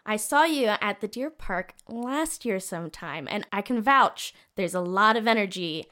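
A woman's voice: mid-range voice, expressive, normal speed, pushed and bright. Female interviewer, mid-range voice, dry teasing questions. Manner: wry, teasing, pointed questions. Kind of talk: casual interview chat.

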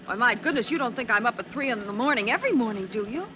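A woman's voice: fairly high pitch, animated, very fast, background noise, close to an everyday voice. An actress playing a chatty, scatterbrained, grateful young mother in an old-time radio drama. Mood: chatty, puzzled. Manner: breezy, prattling. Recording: muffled old radio recording, crowd behind.

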